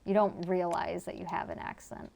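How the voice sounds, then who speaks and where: A woman's voice: fairly low pitch, flat delivery, moderate pace, gravelly, background noise. A woman with a Connecticut accent, chatting casually with her viewers as she streams a game and answers chat. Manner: chatty, reads and answers chat, many fillers. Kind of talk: casual live-stream chat while gaming.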